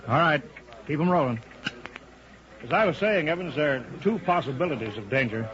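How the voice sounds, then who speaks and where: Actor as commanding radio-drama chief engineer, muffled vintage sound. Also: male voice, a little high, expressive, fairly quick, natural voice. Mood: assured. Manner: authoritative, clipped, urgent orders. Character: commanding, brisk chief engineer of futuristic rolling roads. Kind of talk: 1950s radio drama acting. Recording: muffled vintage radio broadcast with background crowd.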